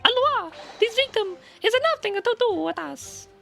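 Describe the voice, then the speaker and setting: A man's voice: very high-pitched, lively intonation, normal speed, gravelly, bright, forceful tone, soft background music, pitched above the performer's own voice, heavily acted. A man voicing a boastful middle-aged Italian male con man in a put-on Italian accent, with an exaggerated, sing-song delivery.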